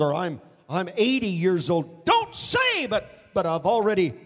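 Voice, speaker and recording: High-pitched preacher in a long echoing hall. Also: male voice. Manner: dramatic, acts out biblical figures in quotes, shouts at peaks. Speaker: pastor. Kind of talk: sermon.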